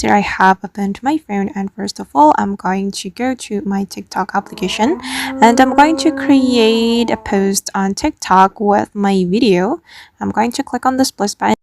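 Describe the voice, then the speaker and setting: A woman with a gravelly voice of middle pitch calmly talks viewers through an app, one step at a time. The recording is close and dry, with no room sound. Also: no room sound. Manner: step-by-step, plain instructional. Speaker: tutorial host. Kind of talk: screen-recorded app tutorial.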